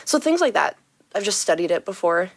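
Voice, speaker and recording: A woman's voice: mid-range voice, sing-song, a little fast. A woman with a mid-range voice hosts a casual interview and asks friendly questions. She laughs often between her lines. Her voice is recorded dry, with no room echo. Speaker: podcast host and narrator.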